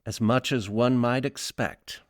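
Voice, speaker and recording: A man playing a reserved, wary former professor in an audio drama, formal and deliberate in his speech. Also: mid-range voice, fairly even intonation, dry recording, studio quality, lightly acted. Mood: guarded. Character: reserved, wary male former philosophy professor back from away.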